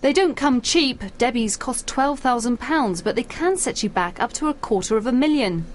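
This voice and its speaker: A woman reporter reading a scripted regional news piece in a light, measured way. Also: fairly high pitch, a little hiss or hum. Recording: music bed under voice-over.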